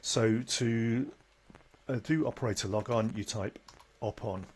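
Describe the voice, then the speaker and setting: A man presenting an unscripted, conversational software tutorial, explaining things step by step as an instructor. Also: mid-range voice, unhurried. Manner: instructional, conversational, with tag questions. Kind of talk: unscripted screen-recording tutorial. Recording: noticeable background noise.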